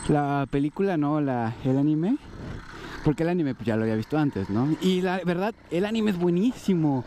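A man's voice: a little high, animated, normal speed. A man with a Mexican accent chatting casually and slangily, rambling along as he rides a motorbike and records outdoors. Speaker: motovlogger. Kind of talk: casual chat while riding. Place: outdoors on a street, riding a motorized bicycle. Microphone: action camera worn by the rider. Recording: loud outdoor vehicle and street noise.

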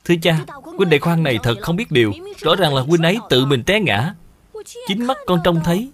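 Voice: mid-range voice, animated, fairly quick, close to an everyday voice. A performer voicing an earnest, respectful young boy in a cartoon, speaking politely and eagerly. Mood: indignant. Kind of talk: cartoon dub acting.

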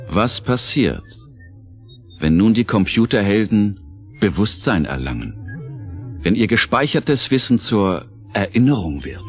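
A man narrating an audio drama from a script in a solemn, measured storytelling manner. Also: on the low side. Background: prominent music.

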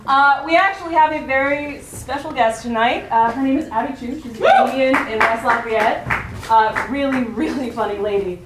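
High-voiced woman introducing acts from far off. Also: normal speed. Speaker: show host introducing acts. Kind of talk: live stage introduction. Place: college lecture hall. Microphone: far camera in the room. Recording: distant voice in an echoey, noisy hall.

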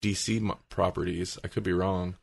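A man's voice: low voice, level tone, normal speed, vocal fry. A man co-hosting a podcast in casual chat, reacting emphatically and repeating himself for emphasis. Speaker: podcast co-host.

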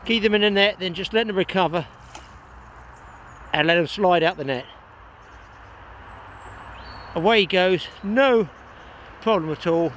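An older man talks to camera as a fishing show host. His voice sits a little high, and his delivery is chatty and exclamatory. He is recorded outdoors on a riverbank with faint background noise. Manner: chatty, exclamatory, addressing viewers directly. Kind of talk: casual fishing vlog commentary to camera.

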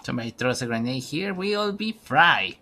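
A man's voice: medium pitch, lively intonation, a little fast, sharp tone, slight background noise. A man from Mexico, a streamer chatting casually and joking while he plays a game. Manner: joking, laughs often. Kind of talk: casual gaming chat.

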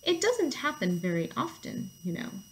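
A woman voicing a calm, wise turtle in a storybook, speaking slowly and patiently in a soft, hushed manner. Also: medium pitch, a little hiss or hum.

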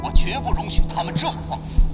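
An actor playing a proud retired tycoon speaking to the press in an audio drama, measured and defiant. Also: male voice, lightly acted. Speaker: retired company founder. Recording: studio voice with music bed.

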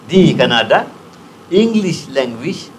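A man's voice: mid-range voice, very animated, takes it slowly, a little hiss or hum. A retired man in his sixties with a Patani Malay accent, earnestly explaining a point in a studio interview. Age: 60s. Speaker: guest. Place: TV studio set, on a couch. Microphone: lapel mic.

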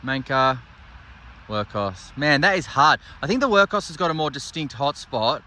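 A man's voice: mid-range voice, animated, moderate pace, sharp tone. A man, in his thirties, casually reviewing flashlights and thinking aloud with enthusiasm. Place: workshop desk indoors, then outdoors at night.

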